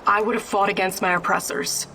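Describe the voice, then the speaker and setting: A woman's voice: medium pitch, steady tone, a little fast, creaky, bright, forceful tone, faint music behind, low-quality recording. An actress playing a fiery, impatient young female rebel fighter in a video game, blunt, combative and bitter. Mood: defiant. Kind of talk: video game character acting.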